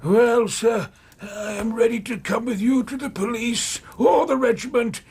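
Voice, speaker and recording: An actor in a radio drama playing a bitter, crippled old soldier, haunted and anguished, with a rough voice. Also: male voice, extremely high pitch, darkish tone, exaggerated voice. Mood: agitated. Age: older. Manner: anguished, slow, emotionally fraught.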